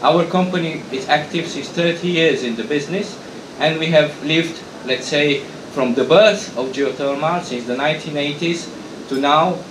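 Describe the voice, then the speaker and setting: A middle-aged man presents at a conference, pitched a touch above the usual male range. He talks haltingly, often restarting his sentences. The sound is roomy, with a clear steady hum of air conditioning behind him. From Romania. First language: Hungarian. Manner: halting, frequent restarts and fillers. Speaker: conference speaker, association president. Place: conference hall. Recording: echoey hall with hum.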